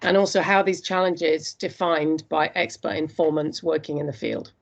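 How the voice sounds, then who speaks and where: A woman presenting her postgraduate dissertation research in an earnest, steady academic talk, with frequent hesitations. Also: deep voice, normal speed, gravelly.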